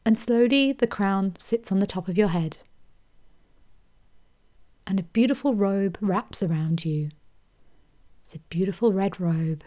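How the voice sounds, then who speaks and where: Brief: woman, meditation guide, mellow tone, gentle and slow, drifts into whispers. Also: medium pitch. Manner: slow soothing delivery with soft whispered phrases.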